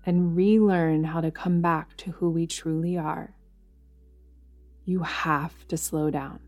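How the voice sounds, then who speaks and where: A woman leading a guided yoga nidra meditation in a gentle, soothing manner, leaving long pauses between phrases. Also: deep voice, fairly even intonation. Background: background music.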